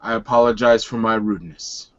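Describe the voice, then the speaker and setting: A man's voice: lowish voice, a little fast, lo-fi sound, lightly acted. A man playing a proud, hot-tempered male werewolf in a tabletop game, speaking in formal, courtly phrases. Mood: apologetic, formal.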